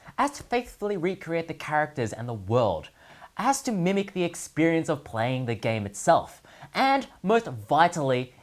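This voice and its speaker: A male host delivers scripted comedic commentary in a very animated voice. His pitch rises and falls widely through each sentence. He is sardonic throughout, setting up and landing punchlines with mock seriousness. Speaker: host of a gaming news segment.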